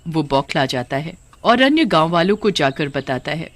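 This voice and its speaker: A woman's voice: deep voice, sing-song, normal speed, dry recording. Woman storyteller, dry close sound. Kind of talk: scripted cartoon story narration.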